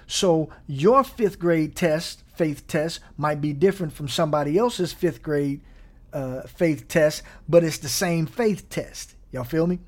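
A man preaching a conversational sermon, often checking in with his listeners by asking "right?", now and then chuckling and dropping almost to a whisper. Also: fairly high pitch. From Gary, Indiana.

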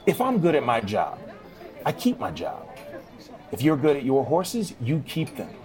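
A man playing a friendly, steady, hopeful American barman, plain-spoken and reassuring, in an audio drama. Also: mid-range voice, unhurried. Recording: music behind the voice.

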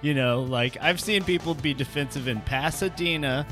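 Male podcast co-host, casual comic banter, halting and mock-indignant, music underneath. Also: a little high, fairly bright tone.

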